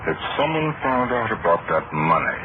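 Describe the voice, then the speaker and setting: An actor playing a brisk, probing district attorney with a clipped, authoritative delivery in an old-time radio detective drama. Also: male voice, on the low side, sing-song. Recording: noisy, tinny, phone-like old radio recording.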